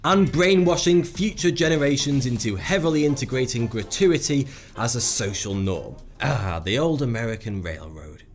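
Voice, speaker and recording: A man's voice: mid-range voice, lively intonation, normal speed, few pauses. A man giving scripted narration for an explainer video, with a sarcastic, wry delivery.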